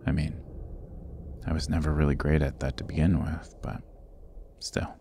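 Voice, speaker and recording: A man's voice: very deep voice, little pitch movement, moderate pace, vocal fry, mellow, a little hiss or hum. A man playing a sardonic, roguish jailed thief in a fantasy ASMR roleplay, with a wry, teasing delivery that often drops toward a murmur. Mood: weary, earnest. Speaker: audio roleplay voice actor.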